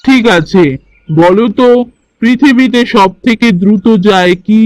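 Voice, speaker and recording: A man's voice: high-pitched, unhurried, no room sound. A man voicing the authoritative bird king of a forest in a children's cartoon, questioning and commanding.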